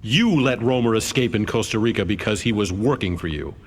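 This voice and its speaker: An actor playing a stern, coolly formal government investigator in a video game, speaking in a deliberate, accusing way. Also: male voice, on the low side, lively intonation. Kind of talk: video game cutscene acting. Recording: long hall reverb.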